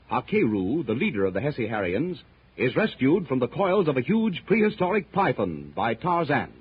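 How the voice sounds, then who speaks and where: A male narrator with a very husky, mid-pitched voice reads in the theatrical manner of 1930s radio. His delivery is measured and portentous, and the old recording is muffled. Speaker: narrator of an adventure serial.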